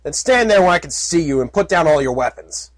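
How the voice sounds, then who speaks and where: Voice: medium pitch, animated, fairly quick, no room sound, pitched above the performer's own voice, close to an everyday voice. A reader doing a barking guard pony. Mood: commanding. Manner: barking, commanding. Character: stern male guard pony with a rifle.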